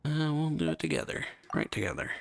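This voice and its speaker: A man giving casual, low-key murmured reactions as he plays a video game. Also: sing-song, a little fast, gravelly, dry recording. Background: loud game music and dialogue.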